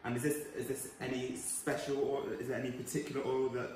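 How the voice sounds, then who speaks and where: A man's voice: medium pitch, level tone, quick pace, recorded from a distance, noisy background. A man in his thirties learning to cook, asking curious questions in a casual chat in a home kitchen. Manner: curious, asks a lot of questions. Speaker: cooking student. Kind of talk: casual chat while cooking. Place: large home kitchen, indoors. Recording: echoey kitchen, voice off-mic.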